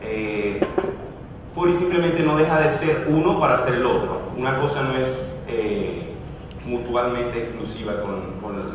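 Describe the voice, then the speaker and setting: A man's voice: medium pitch, unhurried. A man giving a conference presentation, explaining at length with frequent hesitations and restarts. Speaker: conference speaker. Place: large conference room. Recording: echoey hall, distant mic, background noise.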